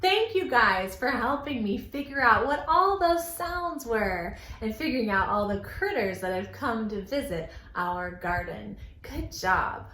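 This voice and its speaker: A woman leading an interactive storytime for young children in an animated, encouraging, sing-song way. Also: a little high, a little slow, hardly any pauses, echoey room, a little hiss or hum. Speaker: children's library storytime host.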